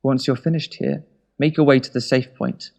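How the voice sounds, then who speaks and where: Man acting a calm, ruthless government minister. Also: medium pitch, moderate pace, vocal fry, close to an everyday voice. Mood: cold resolve. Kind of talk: audio drama acting.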